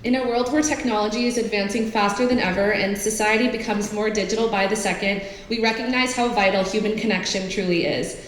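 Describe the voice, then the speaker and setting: A woman's voice: medium pitch, fairly even intonation, brisk, few pauses. Young woman speaking with heavy room echo. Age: young adult. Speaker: student club speaker at an event. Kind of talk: scripted thank-you and closing speech. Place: large indoor event venue. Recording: very echoey hall with faint noise.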